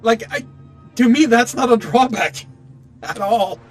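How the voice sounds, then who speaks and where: Male game streamer, strikingly high-pitched, casual and rambling, stop-start delivery, soft music behind. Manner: halting, rambling, explanatory. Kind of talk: casual chat while playing a game. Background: faint video game music.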